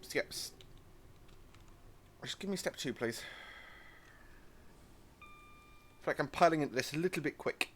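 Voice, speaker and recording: A man's voice: a little high, expressive, unhurried, pauses often, a little hiss or hum. A young British man giving rambling, chatty commentary while driving a train simulator, with frequent apologetic asides. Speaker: gaming channel host. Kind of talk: casual train-sim let's-play commentary.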